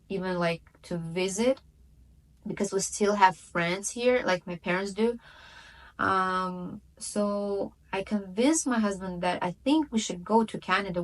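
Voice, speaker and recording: A woman's voice: mid-range voice, poor audio. Chatty woman. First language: Russian. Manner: chatty, many fillers and self-corrections, light laughs. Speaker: vlogger. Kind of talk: casual personal storytelling to camera.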